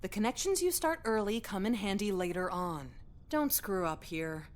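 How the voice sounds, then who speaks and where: A woman's voice: mid-range voice, animated, moderate pace, slight background noise, lightly acted. A woman playing a preachy, sweetly coaxing middle-aged woman in a game, lecturing in a saccharine manner. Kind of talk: scripted visual novel dialogue.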